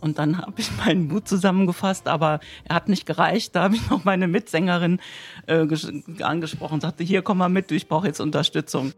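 A woman in her late fifties from Germany, telling her personal story candidly and with animation, with soft music underneath. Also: on the low side, brisk, slightly rough. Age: 50s. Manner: candid and lively, with laughs. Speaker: interviewee.

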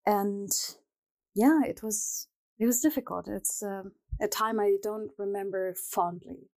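A young woman from Germany, whose first language is Arabic, reflecting thoughtfully on her own story in an interview, with pauses and light laughs. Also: mid-range voice, darkish tone.

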